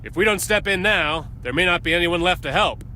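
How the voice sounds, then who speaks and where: An actor playing a stern military captain in a video game, giving a formal, authoritative briefing with clipped delivery. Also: male voice, high voice, fairly quick, sharp tone, a little hiss or hum. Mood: stern, commanding.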